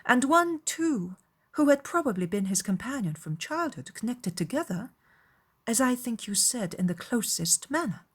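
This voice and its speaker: A woman voicing a spirited, easily shocked young gentlewoman in a dramatized audiobook, speaking in an emphatic, exclamatory way. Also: a little high, sing-song, normal speed, slightly rough, voice pushed up. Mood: indignant.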